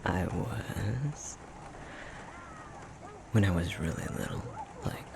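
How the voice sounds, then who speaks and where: A man playing an affectionate, self-deprecating young fox-shifter boyfriend in an intimate ASMR roleplay, speaking softly and hesitantly, often half-whispered. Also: deep voice, animated, slow pace, gravelly, noisy background, exaggerated voice. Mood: bashful, reminiscing.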